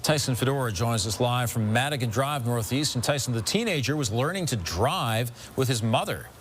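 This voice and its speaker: A man anchoring a local TV newscast, reading scripted stories in a crisp, measured way from a studio. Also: medium pitch, expressive, slight background noise. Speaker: news anchor.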